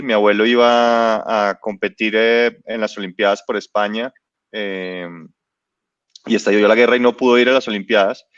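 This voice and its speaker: A man's voice: on the low side, fairly bright tone, no echo, lo-fi sound. A man in his late thirties, a business guest, telling his life story in a casual interview with many hesitations and restarts. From born in Spain, raised in Ecuador and Colombia. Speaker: guest entrepreneur.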